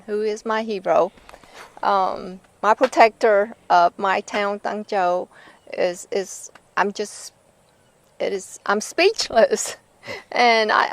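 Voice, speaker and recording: A middle-aged woman from Vietnam, answering in an interview in a halting, moved way, searching for words. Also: mid-range voice. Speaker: interviewee, author.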